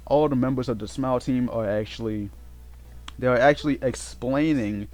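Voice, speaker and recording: A man giving casual, unscripted game commentary in a halting way, often repeating and correcting himself as he goes. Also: medium pitch, darkish tone. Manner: halting, repetitive, self-correcting. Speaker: let's play host. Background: game music.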